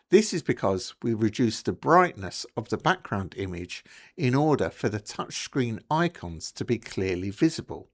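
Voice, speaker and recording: A man presenting a technical support tutorial, reading scripted narration in a clear, instructional way, one step at a time. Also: on the low side.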